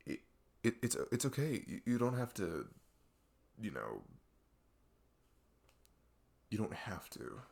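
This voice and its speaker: Troubled male best friend for ASMR roleplay, acted by a man: deep, creaky, hesitant, apologetic, stammering. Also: sing-song, a little mellow, heavily acted. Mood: downcast, hesitant. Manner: hesitant, halting, stammering, sighing. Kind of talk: intimate ASMR audio roleplay.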